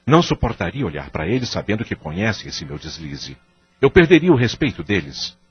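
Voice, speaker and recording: A man's voice: lowish voice, sing-song, fairly quick, breathy edge, muffled recording, full character voice. A man acting in an audio drama with a Brazilian accent, playing a guilty, remorseful husband who implores in formal phrasing. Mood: remorseful, pleading.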